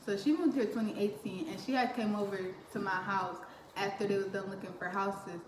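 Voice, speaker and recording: A woman's voice: mid-range voice, fairly even intonation, brisk. A teenage girl chatting with her cousins, telling a story with lots of laughter and acted-out quotes. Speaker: vlogger. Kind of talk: casual storytime chat. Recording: roomy echo, faint hiss.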